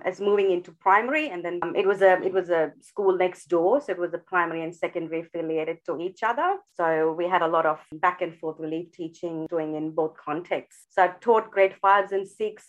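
A woman's voice: fairly low pitch, dull audio. A woman educator from India, thoughtfully answering podcast interview questions with frequent restarts. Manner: thoughtful, many restarts and fillers. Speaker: guest educator.

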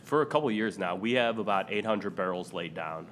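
A man's voice: low-pitched, expressive, fairly quick, a bit bright, a little hiss or hum. A man who runs a distillery, talking in an agreeable casual way, chiming in often and trailing off. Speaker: distillery owner, trade group member. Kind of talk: casual chat.

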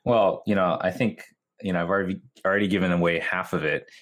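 Low-voiced man with a North American accent. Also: fast, a bit bright. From Japan. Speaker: interview guest, video marketer. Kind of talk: casual interview answers.

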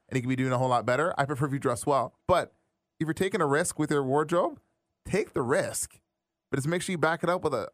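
A man's voice: mid-range voice, a bit bright, no echo, clean studio sound. A young man hosting a podcast, teasing his guest in a joking, casual way. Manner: jokey, teasing, laughs a lot. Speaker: podcast host.

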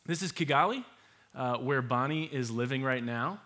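A man's voice: medium pitch, moderate pace. A young man hosting a church talk, walking through maps and photos in an easy manner with many fillers. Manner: relaxed, many fillers, laughs easily. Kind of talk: presenting with slides, casual.

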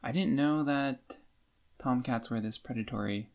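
Woman, podcast co-host with a gravelly, fry-laden voice; relaxed conversational book talk. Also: extremely low pitch, unhurried. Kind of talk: casual book discussion chat.

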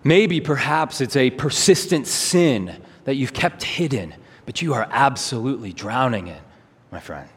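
A man's voice: mid-range voice, lively intonation, unhurried, husky. Male preacher in a reverberant hall. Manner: earnest, emphatic, rhetorical questions and repetition. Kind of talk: sermon. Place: large hall, preaching to a congregation.

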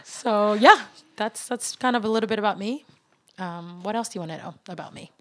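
A woman from the Netherlands, Suriname and Venezuela, a podcast guest in casual, animated chat, laughing often and restarting sentences. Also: medium pitch, fairly quick. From born in the Netherlands, raised in Suriname and Venezuela.

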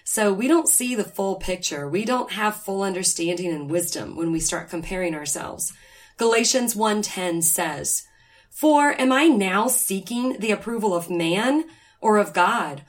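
A woman hosting a faith podcast, slightly raspy and nasal from a cold, talking earnestly and reading scripture aloud. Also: mid-range voice. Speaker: podcast host.

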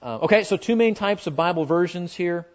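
An American man giving an informal Bible lesson to a group, talking conversationally and haltingly, with frequent asides. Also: sits high, expressive. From United States. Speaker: Bible class teacher. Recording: dull, muffled lo-fi audio.

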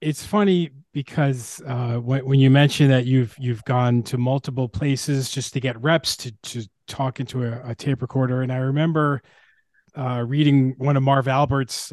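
A man's voice: medium pitch, fairly even intonation, moderate pace, a little mellow, no room sound. A man hosting a podcast interview, asking questions in a casual conversational way.

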